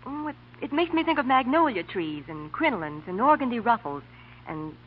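A woman's voice: on the high side, very animated, fairly quick, husky. A woman acting as spokeswoman in scripted 1940s radio commercial dialogue. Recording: dull old radio recording with music behind.

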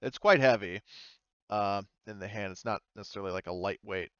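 A man's voice: fairly low pitch, a little fast, fairly bright tone, no room sound, dull audio. A man running a tabletop game as game master, narrating casually and off the cuff in colloquial language.

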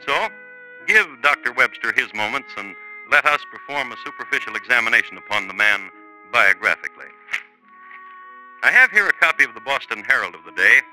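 A man playing a wry, genteel crime storyteller in formal, deliberate 1950s radio narration. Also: medium pitch, very animated, bright, forceful tone, soft background music, poor audio. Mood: wry, measured. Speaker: narrator and host of a crime drama. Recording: 1950s radio broadcast recording.

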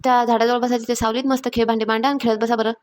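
Woman as cartoon village mom, high, extremely quick, nagging. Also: level tone, a little mellow, close to an everyday voice. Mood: bossy, busy. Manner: nagging, rapid repeated orders. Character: scolding, busy village mother. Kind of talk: Marathi comedy cartoon dialogue.

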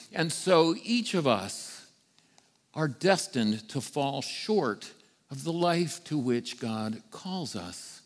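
A middle-aged man preaching a sermon as a priest, with deliberate phrasing and emphatic repetition. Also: fairly high pitch, fairly bright tone. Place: church sanctuary, standing before the altar. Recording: reverberant church hall.

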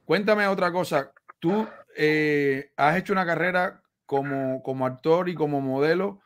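Man, Cuban accent, middle-aged, interview host, slightly high pitch, studio mic. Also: unhurried, roomy sound. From Cuba. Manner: short affirmations, relaxed questions. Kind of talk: conversational interview. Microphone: studio mic on stand, headphones.

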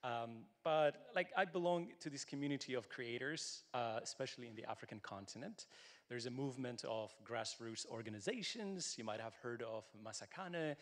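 A man's voice: mid-range voice, normal speed. A man in his thirties from Ethiopia, a tech founder speaking earnestly on a conference panel into a handheld mic. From Tigray, Ethiopia. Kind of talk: panel discussion. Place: large conference hall. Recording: long hall reverb.

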